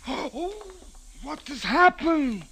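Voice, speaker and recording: An actress playing an anxious, easily shocked hotel manager, fretting in exclamations in 1940s radio drama style. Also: female voice, high voice, lively intonation, extremely slow, over loud music, full character voice. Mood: alarmed. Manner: breathless exclamations, fretful.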